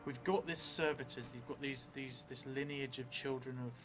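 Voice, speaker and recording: A middle-aged man thinking aloud as he plays a solo roleplaying game, halting and trailing off as he goes. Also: mid-range voice, steady tone. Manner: halting, musing, trailing off as he writes. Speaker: solo tabletop roleplay streamer. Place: indoor theatre room, seated at a table. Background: music.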